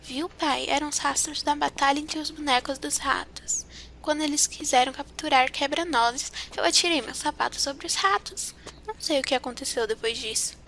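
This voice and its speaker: A woman voicing a frightened, feverish little girl who pleads in a soft, breathy near-whisper. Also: high voice, normal speed, slight background noise. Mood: earnest. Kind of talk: audio drama acting. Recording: music underneath, voice set back.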